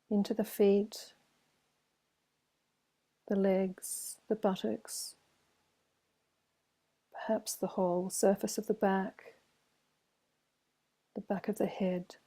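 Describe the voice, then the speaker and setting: A woman meditation teacher leading a guided meditation in a gentle, soothing way, with long pauses between phrases. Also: medium pitch, little pitch movement.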